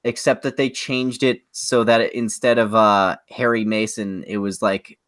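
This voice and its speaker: A man co-hosting a podcast, chatting casually and giving opinions with a mock-exasperated, animated way of talking. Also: lowish voice, moderate pace, no echo, studio quality. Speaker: podcast co-host. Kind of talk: casual opinionated chat about movies and games.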